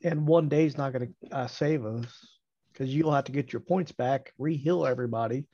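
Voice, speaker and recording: Husky dark-voiced guy playing a tabletop game, casual chat, dull dry lo-fi mic. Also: mid-range voice, moderate pace, no echo.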